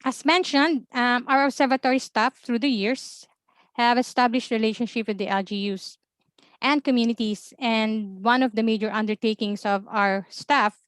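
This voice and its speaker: A Filipino woman with a Filipino accent, presenting in a webinar as an observatory information officer in a measured way, with many ums and uhs. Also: fairly high pitch, fairly even intonation, no echo. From Philippines.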